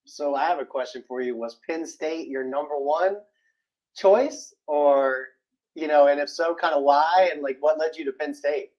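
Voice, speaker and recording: A man hosting an interview asks his guest questions with friendly eagerness. His voice sits a little high for a man. The audio is dull and lo-fi, as if picked up on a lesser mic. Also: moderate pace. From northeastern North Carolina. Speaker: podcast host. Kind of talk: casual interview.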